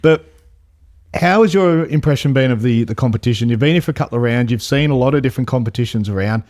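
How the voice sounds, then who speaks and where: A man hosting a casual podcast in an office, jokey and quick to laugh. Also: medium pitch, a little mellow. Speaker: podcast host.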